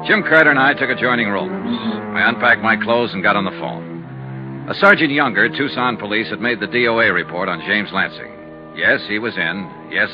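An American actor playing a cool, businesslike insurance investigator who narrates his case in clipped 1950s radio style. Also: fairly low pitch. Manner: clipped, matter-of-fact delivery. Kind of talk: 1950s radio detective acting. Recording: muffled 1950s radio recording with music.

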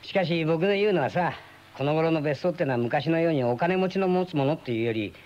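A man's voice: a little high, close to an everyday voice. An actor playing a chatty, wry friend in his thirties in a radio drama, explaining things colloquially. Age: 30s. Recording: dull lo-fi old radio recording.

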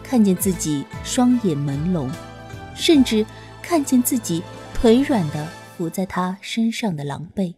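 A woman reads a romance story slowly, with a soft, lilting delivery. Her pitch rises and falls lively, and faint background music plays under her voice. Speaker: audiobook narrator. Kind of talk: scripted romance narration.

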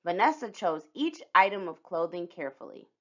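A woman's voice: fairly low pitch, big pitch swings, normal speed, dull audio. A woman reading a children's picture book aloud as a scripted storyteller.

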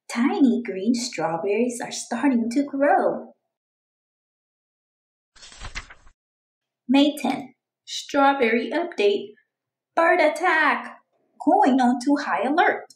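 An eager, dramatic little storybook girl, acted out by a woman, chatty and full of exclamations. Her pitch is high and swoops around, though she takes her time over the words. The room adds some echo. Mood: dramatic, alert. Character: eager, dramatic young girl keeping a strawberry journal. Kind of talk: children's storybook read-aloud.

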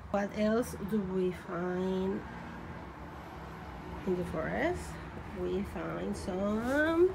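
Slow-talking, cooing woman vlogger. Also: on the high side, vocal fry, noisy background. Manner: slow, gushing, baby-talk cooing with soft laughs. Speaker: doll collector vlogger. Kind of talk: cooing show-and-tell of a doll collection. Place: bedroom at home. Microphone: phone camera held by the speaker.

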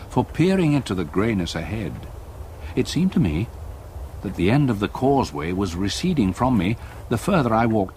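An older man with an English accent playing a retired solicitor who narrates a haunting memory in a measured, literary radio-play manner. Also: medium pitch, a little mellow, slight background noise, natural voice. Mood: grave, reflective.

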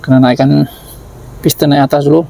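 A man's voice: a little high, little pitch movement, brisk, creaky, dark tone, slight background noise. A man with a Malaysian Malay accent, a mechanic giving plain, matter-of-fact commentary while he works on an engine in a car workshop. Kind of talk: casual work commentary.

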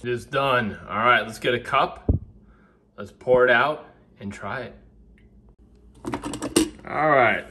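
Slow-talking man reviewing coffee. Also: medium pitch, noisy background. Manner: rambling, restarts often, talks while working. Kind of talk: casual home coffee review. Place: home kitchen, indoors.

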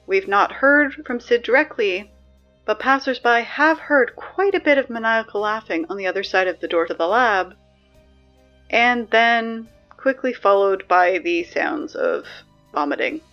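Woman acting as sarcastic school announcer, dry, exasperated, emphatic, medium pitch, dull lo-fi sound, music underneath, fiction podcast. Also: lively intonation, unhurried, a bit bright. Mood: dry, matter-of-fact.

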